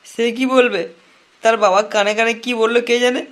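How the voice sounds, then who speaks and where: A man's voice: very high-pitched, steady tone, a bit bright. A man voicing a wronged, lovelorn young man in a comic cartoon, whining and sarcastic with foul-mouthed slang. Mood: rueful, complaining. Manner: whining, sarcastic, drawn-out lamenting. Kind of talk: comic cartoon dialogue in colloquial Bengali slang.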